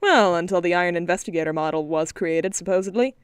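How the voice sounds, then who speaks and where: A woman's voice: fairly low pitch, fast, clear, resonant tone, no room sound, studio quality, natural voice. A woman voicing a wealthy middle-aged male industrialist, wry and businesslike.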